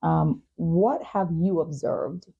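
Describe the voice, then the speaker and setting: A woman's voice: low voice, slow, vocal fry, slightly rough, narrow, tinny audio. A woman hosting a podcast interview, asking questions and responding to her guest. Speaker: talk show host.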